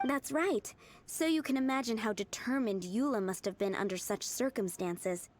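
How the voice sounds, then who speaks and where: An actress voicing a kind young female cook in a video game, speaking in a gentle, earnest way. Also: on the high side, moderate pace, a little hiss or hum, lightly acted.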